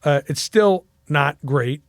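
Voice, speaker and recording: A man's voice: on the high side, lively intonation, takes it slowly, gravelly, dry recording, clean studio sound. A Mexican-American man in his forties, telling a long casual story as a podcast guest, rambling with fillers and restarts. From United States.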